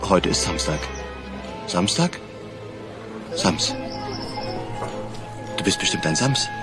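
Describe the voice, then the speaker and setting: An actor playing a mild man who reasons hesitantly and deliberately in a children's film. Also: low voice, animated, heavily acted. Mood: pondering, realizing. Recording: film excerpt with loud music behind.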